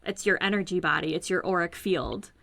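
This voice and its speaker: A woman's voice: fairly low pitch, a little fast, creaky, fairly bright tone, no echo. A woman guest explaining her energy healing work over a call, earnest and searching for words. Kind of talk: casual explanatory talk. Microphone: remote call.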